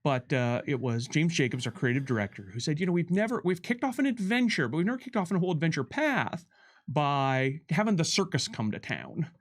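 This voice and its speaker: A man talking conversationally as a game developer and co-host giving commentary, with a halting, emphatic delivery and drawn-out words. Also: a little high, animated.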